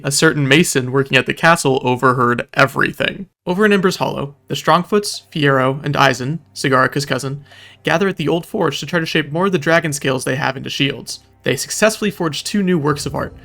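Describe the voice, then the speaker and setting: A man's voice: mid-range voice, gravelly, a bit bright. A man reading scripted recap narration in a storytelling style, with dramatic delivery and wry asides. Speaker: video narrator recapping a roleplay game event.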